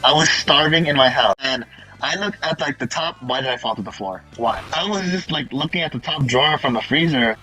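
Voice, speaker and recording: A man's voice: medium pitch, fairly quick, sharp tone, low-quality recording. A young man chatting casually and telling stories to his friends as the host of the group. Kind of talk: casual chat and storytelling among friends. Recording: music playing behind the voice. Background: music.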